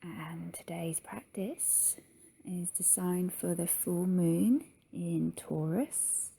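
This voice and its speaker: Slow, mellow woman guiding meditation. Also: fairly low pitch, vocal fry, slightly rough. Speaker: yoga nidra guide. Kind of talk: guided meditation.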